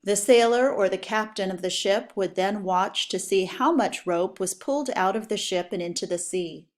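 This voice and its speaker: A woman teacher reading educational text aloud for pupils, with clear, deliberate, instructional phrasing. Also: mid-range voice.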